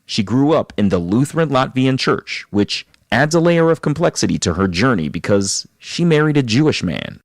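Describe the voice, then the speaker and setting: A man's voice: medium pitch, sing-song, moderate pace, few pauses, no room sound, clean studio sound. A man hosting a podcast, interviewing a guest and recapping her story in narration, with attentive murmurs. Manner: attentive, frequent affirming murmurs.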